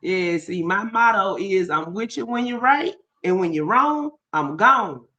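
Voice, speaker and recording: A woman's voice: medium pitch, expressive, a little slow, sharp tone, muffled recording. Woman, live stream co-host, casual commentary, commanding, lecture-like tone.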